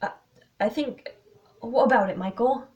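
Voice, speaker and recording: A woman's voice: a little high, unhurried, mellow, voice pushed up, close to an everyday voice. A woman voicing an awkward, apologetic English schoolboy prankster with a hesitant manner, in a story reading. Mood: sheepish. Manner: faltering, awkward.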